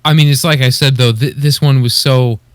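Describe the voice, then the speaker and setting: Male co-host, average pitch, conversational and a bit halting, very dry sound. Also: steady tone, no echo. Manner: hesitant, searching for words, often says like. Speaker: podcast co-host. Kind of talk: casual chat.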